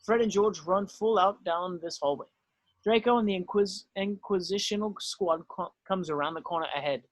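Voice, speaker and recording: A man's voice: high-pitched, moderate pace, dry recording, low-quality recording. A man narrating stage directions in an amateur screenplay table read.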